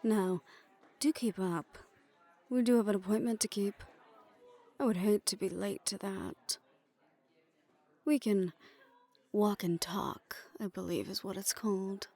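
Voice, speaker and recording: A woman's voice: medium pitch, measured pace, long pauses, mellow. A woman playing a poised, imperious hotel manager in an audio roleplay, speaking formally and with polite condescension. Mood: gracious, smooth. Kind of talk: scripted audio roleplay addressed to the listener.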